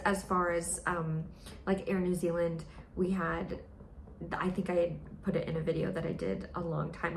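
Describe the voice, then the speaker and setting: A young American woman chatting casually in a vlog, full of fillers and playful quoted voices. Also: vocal fry. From United States. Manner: chatty, with many fillers, mimics others in high voices. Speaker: vlogger. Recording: echoey room, faint noise.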